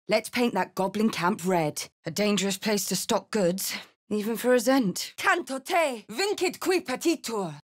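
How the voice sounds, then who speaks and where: A woman's voice: mid-range voice, darkish tone, close to an everyday voice. An actress voicing a brash, hot-headed woman warrior with a blunt, cheeky manner in a fantasy video game. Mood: brash, eager, then curious, watchful, then intense incantation. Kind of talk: fantasy video game character lines and spell calls.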